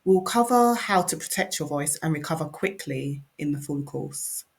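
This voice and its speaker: A woman, a speech and language therapist, giving a measured, scripted presentation that explains as it goes. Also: deep voice, animated, gravelly.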